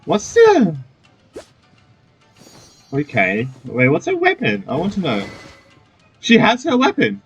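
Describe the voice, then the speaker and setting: A man's voice: high voice, wildly swooping pitch, takes it slowly, pauses often, soft background music. A man giving casual commentary as he plays a game, muttering to himself with short reactive exclamations. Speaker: gamer playing through a game.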